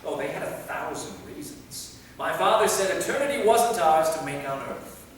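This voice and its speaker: A man's voice: a little high, animated, moderate pace, lightly acted. A man playing an anguished, weary and bitter immortal vampire in a staged reading. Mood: grieving, bitter. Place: theater, recorded from the audience distance. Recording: echoey hall from far off, faint noise.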